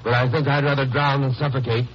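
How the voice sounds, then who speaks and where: An actor playing a steady, wry lawyer-sleuth hero in a 1950s radio crime drama. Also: male voice, medium pitch, fast, lightly acted. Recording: muffled, noisy old radio recording.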